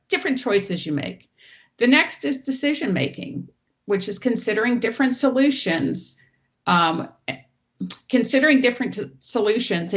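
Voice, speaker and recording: A woman presenter with a loud teacher voice, giving an online training talk and walking through each slide. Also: medium pitch, lo-fi sound. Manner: explains clearly, walks through each slide. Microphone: online meeting audio.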